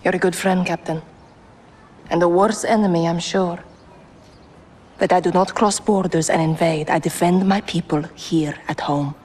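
A woman's voice: fairly low pitch, fairly even intonation, normal speed, darkish tone, slightly rough. An actress playing a fierce young female rebel commander, firm and solemn, in game drama. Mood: guarded, resolute. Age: young adult. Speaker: actor in a military game cutscene. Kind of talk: cinematic dramatic game dialogue.

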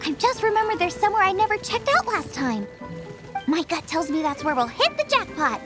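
A woman's voice: very high voice, big pitch swings, fairly quick, over loud music. A woman voicing an eager, cheerful young girl chef in a video game, with an enthusiastic, confident manner. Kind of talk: animated video game dialogue.

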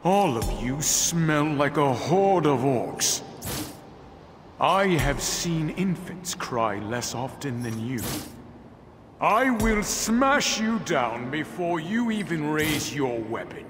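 Pompous warrior lord, drawn-out delivery. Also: male voice, fairly high pitch, very animated. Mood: mocking. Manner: pompous, theatrical taunting. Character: vain, boastful warrior lord, booming and mocking. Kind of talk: video game character voice lines. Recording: echoing game audio with background noise.

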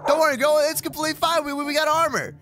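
High-pitched, wisecracking young adventurer, acted by a man. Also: fairly even intonation, rapid-fire, over loud music. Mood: upbeat. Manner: slangy, jokey, stammering. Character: excitable, wisecracking young adventurer with a pet companion. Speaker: YouTube roleplay creator. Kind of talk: improvised game roleplay acting.

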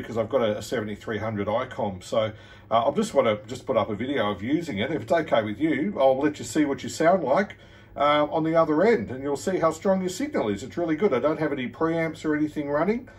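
Aussie guy on ham radio, friendly and encouraging, bit far from the phone mic, indoors. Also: mid-range voice. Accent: Australian. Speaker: amateur radio operator. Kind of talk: casual ham radio chat. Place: indoor room at radio desk.

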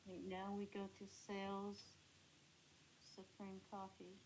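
A woman in a casual study session who briskly directs the next ledger entry and confirms the amounts. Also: medium pitch, completely flat delivery, slight background noise, poor audio. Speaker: student guiding the ledger entries. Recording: loud keyboard typing close by.

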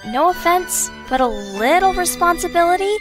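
Woman doing exasperated teen schoolgirl, high voice, really animated, audio drama. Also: moderate pace, music in the background. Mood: exasperated, chiding. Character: exasperated, responsible teenage schoolgirl. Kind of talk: scripted audio drama acting.

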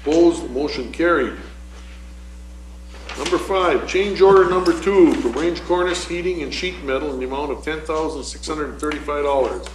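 A man chairing a city council meeting as mayor, calling motions and votes in a brisk, routine manner. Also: fairly high pitch. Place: council chamber, large room. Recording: echoey council chamber with background noise.

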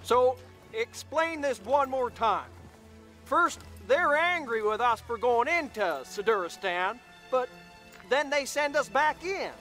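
A man playing an excitable, slow-witted, naive young soldier in a video game. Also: very high-pitched, full character voice. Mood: puzzled. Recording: faint music and helicopter noise behind.

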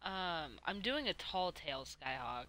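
A woman's voice: lowish voice, very animated, unhurried, pushed and bright, slight background noise. A woman streaming a game and chatting casually, hesitant and rambling, with free swearing. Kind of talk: casual livestream chat while playing.

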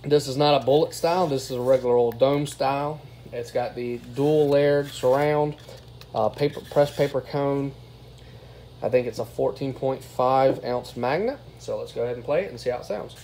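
Male reviewer, informal and plain-spoken, average pitch, faint room noise behind him. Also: moderate pace, dark tone. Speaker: product reviewer. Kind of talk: casual hands-on review commentary. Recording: clear background noise, room ambience.

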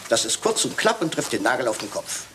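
An actor playing a shrewd, self-assured detective in a German radio crime drama, with a sly, theatrical manner. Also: male voice, medium pitch, sing-song, brisk, noisy background, close to an everyday voice. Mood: triumphant. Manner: theatrical, sly, teasing, with occasional French phrases.

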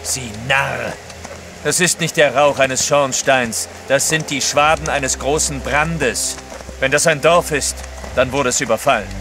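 Audio drama role male actor: grave, blunt, assured guide in medium register, clear music backing. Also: mid-range voice, a little slow, natural voice. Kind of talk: German radio play acting.

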